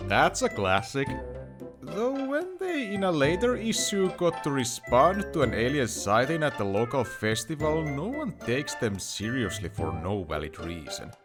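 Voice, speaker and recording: A man reviewing a webcomic in scripted narration, with a wry, theatrical manner. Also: mid-range voice. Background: music under the voice.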